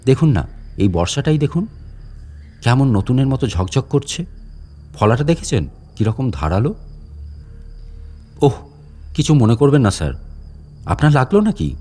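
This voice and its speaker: A man acts out an old male caretaker from a horror story, medium in pitch. He is deferential on the surface, with vengeful menace underneath. He puts sly rhetorical questions to his listener in a dramatic monologue. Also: sing-song, normal speed, pauses often, dark tone. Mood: politely sinister.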